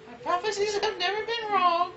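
A woman's voice: very high-pitched, steady tone, moderate pace, noisy background. A woman voicing an earnest, pleading young princess in a crude parody dub of game dialogue. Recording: roomy, distant, dull lo-fi with game music.

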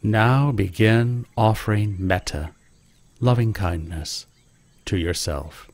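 Man, deep voice, slow guided meditation, gentle calm delivery, dry close sound. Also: no room sound.

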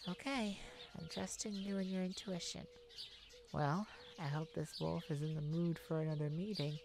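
A woman playing a tenderhearted mage devoted to a werewolf partner in a romantic ASMR roleplay, soft, affectionate and creaky, with pauses. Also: sits low, steady tone, background noise. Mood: affectionate, resolute. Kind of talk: romantic ASMR roleplay, one-sided dialogue.

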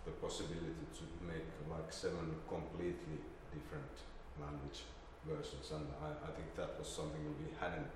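A middle-aged man giving an informal seminar presentation in a halting, hesitant way. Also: extremely low pitch, little pitch movement. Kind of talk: informal presentation with slide demonstration. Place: indoor seminar room with projector screen. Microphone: distant camera microphone. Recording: roomy, echoey, distant mic, faint noise.

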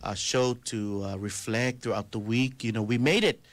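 A man hosting a casual radio chat in a small studio, friendly and full of filler pauses, speaking into a table mic. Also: medium pitch. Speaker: radio show host.

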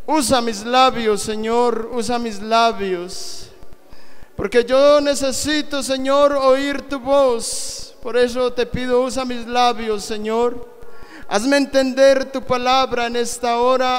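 High-voiced fervent preacher in an echoing hall. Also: male voice, smooth. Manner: fervent, repetitive pleading prayer, emphatic phrasing. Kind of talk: Pentecostal-style sermon with prayer. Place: small church hall with band instruments. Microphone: handheld microphone. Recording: echoey hall reverb.

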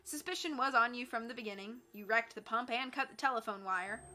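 A woman's voice: fairly high pitch, fairly quick, sharp tone, slight background noise. A woman voicing a cool-headed, defiant girl sleuth with a bold, taunting manner in an audiobook.

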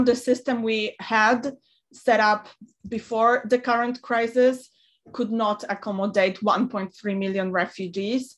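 Flat-voiced woman in a webinar. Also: medium pitch. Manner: analytical, hesitant, often restarting phrases. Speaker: migration researcher, panelist. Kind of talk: webinar policy talk. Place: indoor room at home or office. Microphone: video call laptop mic.

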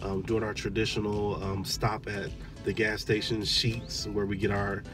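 A man in his thirties chats in a relaxed, rambling way from the seat of a parked car. His voice sits a little low. Music plays clearly behind him on the dashboard camera recording. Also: measured pace. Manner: relaxed, chatty, many "um"s. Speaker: vlogger, father. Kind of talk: casual vlog chat.

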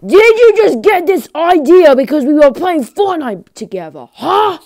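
Animated high, clear young-sounding voice acting out lines. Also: measured pace, dry recording. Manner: animated, exclamatory, swinging from muttering to yelling. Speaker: amateur voice actor recording character lines. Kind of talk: exaggerated web cartoon voice lines.

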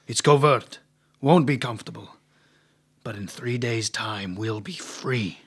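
An actor playing a sly, calculating bear-man henchman in an audio drama, speaking in a measured, conspiratorial way. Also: mid-range voice, slightly rough.

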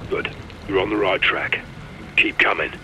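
A stoic special forces lieutenant in a mask for a military video game. His voice is extremely deep, and he speaks in clipped, darkly deadpan lines. Noisy ambience runs behind him. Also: moderate pace. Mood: calm, commanding. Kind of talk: naturalistic video game acting.

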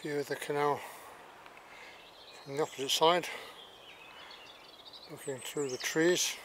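A man's voice: fairly high pitch, fairly even intonation, takes it slowly, a bit bright, a little hiss or hum. A man narrating a walk outdoors, chatty and admiring, with wry asides. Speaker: walking vlogger narrating. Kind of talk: casual walking commentary. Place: outdoors by a rural estuary and canal.

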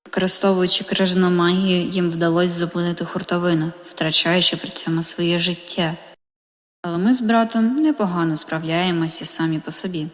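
Woman voicing a low, calm skeleton big brother, reverberant. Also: brisk, lightly acted. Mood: calm narrating. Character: calm, caring young skeleton older brother, royal meteorologist. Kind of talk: comic dub with narration.

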